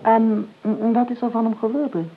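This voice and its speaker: A thin, phone-like old radio recording with a noisy background carries an actress playing a calculating older aunt. She is sardonic and imperious, measuring out her words drily and leaning slowly on the important ones. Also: on the high side, flat delivery, close to an everyday voice. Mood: probing. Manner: measured, dry, drawing out key words. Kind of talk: Dutch radio play acting. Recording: tinny, band-limited old radio recording, clear noise.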